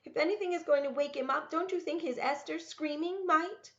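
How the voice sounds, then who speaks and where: A woman's voice: high-pitched, fairly quick, a bit bright, pitched above the performer's own voice. Woman doing brave defiant mountain girl from a storybook, earnest, echoey muffled recording. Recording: roomy, echoey, dull lo-fi sound.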